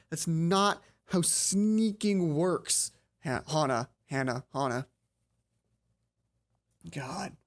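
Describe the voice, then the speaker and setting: A woman reading a visual novel game aloud, tripping over the text and breaking off into casual, exasperated commentary. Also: mid-range voice. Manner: stumbles over read text, exasperated asides. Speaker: let's play host.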